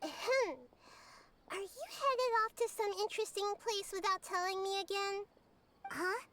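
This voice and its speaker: A woman voicing a gentle, wise girl goddess in a game, talking softly and kindly, with game music behind. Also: very high-pitched, a little slow, slight background noise. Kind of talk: game character dialogue.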